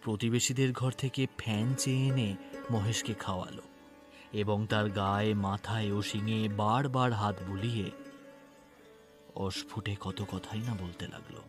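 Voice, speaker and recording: A man narrating a literary story in a measured, expressive, dramatic way. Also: on the low side. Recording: music bed under narration. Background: background music.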